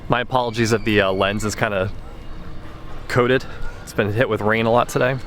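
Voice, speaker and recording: A man's voice: on the low side, steady tone, fairly quick, a bit bright, a little hiss or hum. A man co-hosting a travel vlog, narrating and chatting in an informal, conversational style. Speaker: travel vlogger, co-host. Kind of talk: casual vlog narration and chat.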